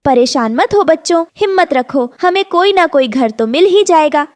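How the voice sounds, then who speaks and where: A woman's voice: high voice, expressive, moderate pace, no echo, pitched above the performer's own voice. A woman voicing a kind, hardworking mother bird in a cartoon fable, earnest and motherly. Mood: reassuring. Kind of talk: animated fable dialogue.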